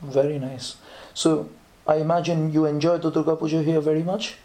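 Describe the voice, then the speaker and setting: Woman interviewer, low mellow voice, encouraging, short prompts. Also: flat delivery, normal speed. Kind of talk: casual interview with a child.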